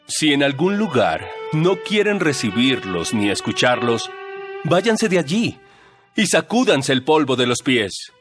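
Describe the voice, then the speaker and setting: An actor playing a calm, authoritative male teacher in a dramatized Bible recording. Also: mid-range voice, a little slow, soft background music. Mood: instructing. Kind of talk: dramatized Bible audio acting.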